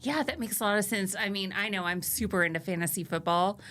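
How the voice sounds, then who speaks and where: A woman's voice: mid-range voice, quick pace, a bit bright. Woman host asking friendly questions. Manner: friendly, asks short questions. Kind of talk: casual interview chat.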